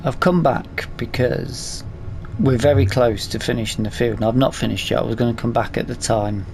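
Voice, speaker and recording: A man's voice: mid-range voice, darkish tone, slight background noise. A man giving casual let's play commentary on a game, thinking aloud as he goes. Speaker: gaming video host.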